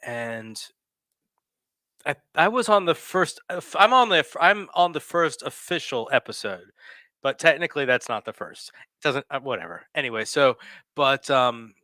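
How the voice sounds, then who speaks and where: Casting: man in his forties, bright tense voice, dry close mic, rambling casual host. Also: medium pitch, expressive, moderate pace, no echo. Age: 40s. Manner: rambling, self-correcting, laughs often. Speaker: podcast host. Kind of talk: casual chat.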